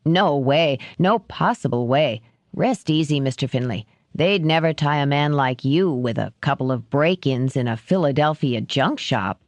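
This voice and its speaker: A cocky hired thug who talks in a casual, breezy way, as a woman reading the story puts him on. The voice sits low and the recording is dry and close. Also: expressive, normal speed, dry recording.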